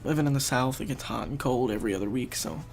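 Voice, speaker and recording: A man's voice: medium pitch, fast talker, creaky. A young man with a stuffed-up, fading voice, casually thinking aloud while he plays and explains a strategy game. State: congested, losing his voice. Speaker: gaming video host. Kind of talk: casual gameplay commentary.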